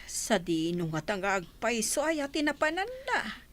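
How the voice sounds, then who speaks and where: A Filipino woman in a radio drama playing a concerned, inquisitive older household helper with an animated delivery. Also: a little high, moderate pace. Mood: concerned, curious. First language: Ilocano. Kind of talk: Ilocano radio drama acting. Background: background music.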